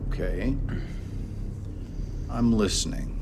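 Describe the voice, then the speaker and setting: A man's voice: fairly low pitch, slow pace. A man playing a government interrogator in a game cutscene, speaking in a controlled, blunt and sardonic manner. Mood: firm, pressing. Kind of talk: cinematic game dialogue, interrogation. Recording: clear background noise, room ambience.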